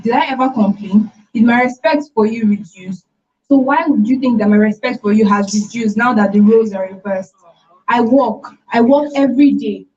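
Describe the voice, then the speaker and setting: Woman acting fed up nigerian wife in a radio play, highish voice, reproachful, bit muffled. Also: dark tone, recorded from a distance, lightly acted. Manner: emphatic, reproachful. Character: overworked breadwinner wife and mother, firm, fed up. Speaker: radio drama actress. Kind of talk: radio drama dialogue.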